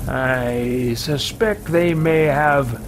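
A man's voice: mid-range voice, background noise. A man roleplaying a haughty, megalomaniac evil sorcerer for a video game, speaking in a pompous, theatrical and contemptuous way. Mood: smug, theatrical. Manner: pompous, theatrical, slow deliberate phrasing. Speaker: streamer. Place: small home room with shelves, indoors. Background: video game ambience.

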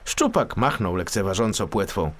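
A man narrating a children's story in an expressive, theatrical, scripted style. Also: lowish voice, normal speed.

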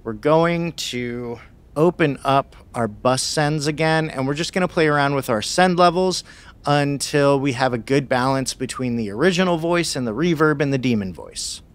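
A man in his thirties hosting a casual, conversational software tutorial, on a close broadcast mic in a small studio. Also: medium pitch, normal speed. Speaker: video tutorial host. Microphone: close broadcast mic on boom arm.

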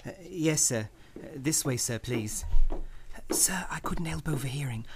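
An actor playing a meek, kindly, put-upon office clerk, deferential and hesitant, in an old-time radio drama. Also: male voice, medium pitch, expressive, measured pace, vocal fry, noisy background. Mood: timid, obliging.

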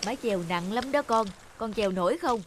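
An actor voicing a kindly, encouraging fisherman father in a cartoon, gentle and reassuring in manner. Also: high-pitched, expressive, a little fast, noisy background. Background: background music.

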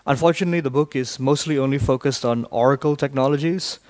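A man from Malaysia giving a technical talk in a large conference hall, briskly quizzing the audience. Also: medium pitch, a little mellow. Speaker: conference speaker.

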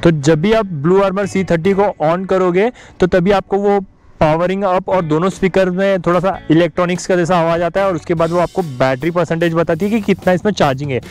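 A man's voice: high-pitched, steady tone, brisk, a little mellow, clean tone. A man in his thirties with an Indian accent giving a vlog tutorial, explaining step by step with English terms mixed in. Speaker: motovlogger. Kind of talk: tutorial vlog to camera and voice-over. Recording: music behind the voice. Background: background music.

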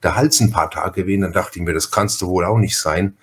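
A man's voice: low-pitched, brisk, fairly bright tone. A man from Franconia with a Franconian accent hosting a casual podcast conversation, rambling and often repeating his phrases. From Franconia, Germany. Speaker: podcast host.

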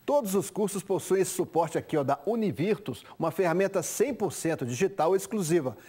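Middle-aged male TV sports host, high voice, lively conversational delivery, studio sound. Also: husky. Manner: lively, conversational, quick asides. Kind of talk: studio sports chat and live ad read.

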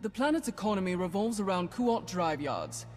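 A woman playing a solemn, formal female dark lord in a video game. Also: medium pitch, expressive, normal speed, lightly acted. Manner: formal, grave. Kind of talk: video game cutscene acting. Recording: long reverb, soft music behind.

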